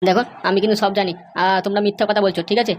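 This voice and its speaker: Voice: medium pitch, little pitch movement, a little hiss or hum, close to an everyday voice. A performer voicing an anxious young woman in a cartoon comedy, pleading and insistent. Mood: insistent, worried. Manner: pleading, insistent, halting starts. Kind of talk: amateur cartoon comedy dialogue.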